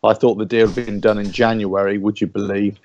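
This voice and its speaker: A man's voice: lowish voice, level tone, a little fast, darkish tone, highs cut off. A man giving his opinions as a fan panelist in a casual football discussion, hedging and restarting often. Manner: opinionated, full of hedges and restarts.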